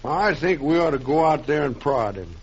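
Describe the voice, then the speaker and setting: A man's voice: fairly high pitch, animated, normal speed, breathy edge. An impatient railroad man. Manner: impatient and businesslike. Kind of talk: 1950s radio drama acting. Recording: muffled old radio broadcast.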